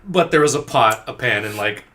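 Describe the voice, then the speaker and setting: A man with a medium-pitched voice tells a story in a casual podcast chat. He relays it conversationally, quoting what people said and swearing freely. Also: expressive, moderate pace, pushed and bright, faint music behind. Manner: uses frequent quoted dialogue and swearing. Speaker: podcast host.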